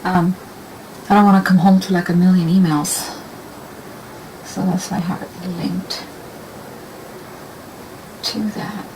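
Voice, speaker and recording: Soft-spoken woman painting, noisy room. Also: on the low side, level tone, a little slow, long pauses. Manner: rambling, soft-spoken, thinking aloud while painting. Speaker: painting instructor. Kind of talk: casual painting demo talk.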